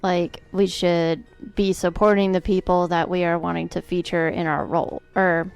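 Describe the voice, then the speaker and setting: A woman co-hosting a relaxed podcast conversation, hesitant and easygoing and often hedging. Her voice is smooth and resonant. It sits rather low for a woman. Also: normal speed, soft background music. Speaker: podcast co-host. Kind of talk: casual chat.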